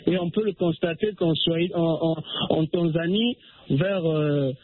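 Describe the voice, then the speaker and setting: A man's voice: a little high, a little slow, breathy edge, dry recording. A man from the Republic of the Congo calling in to a radio show, speaking hesitantly with many fillers and restarts. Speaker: radio caller. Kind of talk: phone-in call on a radio show. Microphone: telephone. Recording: tinny phone line, dry.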